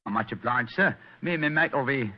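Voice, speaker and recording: An actor playing a fawning, chatty London plumber with a Cockney accent and rambling, colloquial speech in an old-time radio mystery. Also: male voice, medium pitch, lively intonation, fairly quick, natural voice. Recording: dull, lo-fi old radio recording.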